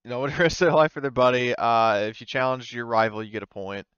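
A man's voice: mid-range voice, fast, a bit bright, clear, resonant tone, dry recording, dull audio. A man running a tabletop game session as game master, talking casually with the players.

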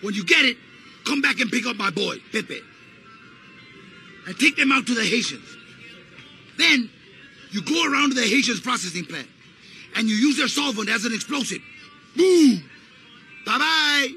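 An actor playing a boastful, hot-headed macho Cuban gangster with a Cuban accent, excitable, in a video game. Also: male voice, very high voice, moderate pace, long pauses, soft background music, heavily acted. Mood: bossy, excited. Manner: excitable, swaggering. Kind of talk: video game cutscene acting.

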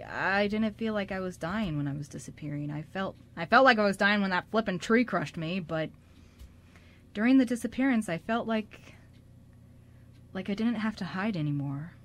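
Woman playing an earnest, halting young man. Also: lowish voice, slight background noise, natural voice. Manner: halting, stammering, emotional delivery. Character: young man, earnest, reassuring hospital patient. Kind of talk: amateur radio drama acting.

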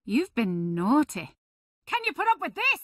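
An actress voicing a seductive, playful, teasing succubus demon in a fighting video game, with a sultry, flirtatious delivery. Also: female voice, high-pitched, wildly swooping pitch, unhurried, a bit bright, exaggerated voice. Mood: flirtatious, taunting.

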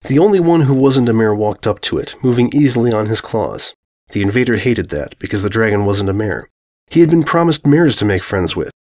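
Man narrating with lively pitch. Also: brisk. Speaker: fan fiction narrator. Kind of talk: scripted comic story narration.

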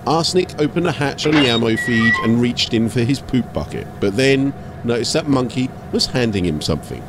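A man's voice: medium pitch, expressive, a little fast, noisy background. A man giving a scripted, dramatic narration as an audiobook storyteller.

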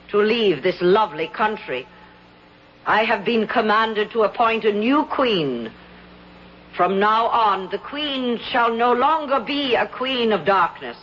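A woman's voice: a little high, a bit bright, highs cut off, slight background noise. An actress playing a young woman who poses as a commanding queen in a 1970s radio drama. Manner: regal, commanding.

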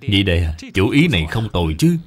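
An actor playing an affable, polite elder brother, a merchant in an animated tale of ancient China. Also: male voice, sits low, fairly quick. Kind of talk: animated film dubbing.

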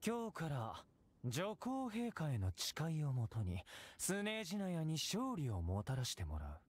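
A man playing a commanding young military officer in an anime game, with measured, theatrical speechmaking. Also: fairly high pitch, wildly swooping pitch, husky, full character voice. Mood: solemn, commanding. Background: game music.

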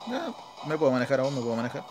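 A man's voice: mid-range voice, very animated, racing, background noise. A man with a Chilean accent giving casual, off-the-cuff gameplay commentary, muttering as he thinks aloud. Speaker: gaming video host. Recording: game music behind the voice. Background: video game battle music.

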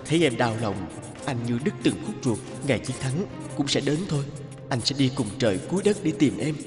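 A man voicing a devoted, tender soldier's love letter in an animated tale, with an echo effect. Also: mid-range voice, fairly quick. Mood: yearning, sorrowful. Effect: echoing reverb. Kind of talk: romantic animated voice-over. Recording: echoey long reverb under loud music.

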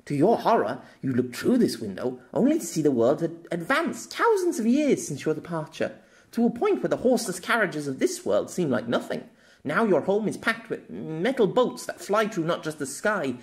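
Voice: mid-range voice, lightly acted. A storyteller voicing a proud, playful young noblewoman in an audio novel, with arch, formal diction.

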